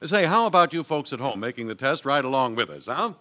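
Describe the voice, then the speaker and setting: A man's voice: medium pitch, sing-song, fairly quick, bright, forceful tone. An American man announcing a vintage radio commercial in a hearty, folksy salesman's manner.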